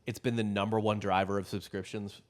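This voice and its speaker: A low-voiced man co-hosting a relaxed history podcast, chatting loosely and swearing freely. He laughs easily and talks the way friends banter, with an easygoing, unscripted flow. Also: a little fast. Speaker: podcast co-host telling the story. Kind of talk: casual comedic history podcast chat.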